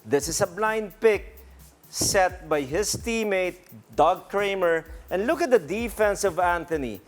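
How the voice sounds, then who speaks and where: A middle-aged man, a coach explaining basketball plays in a deliberate, emphatic teaching manner in a TV studio. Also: high voice. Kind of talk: explanatory play breakdown.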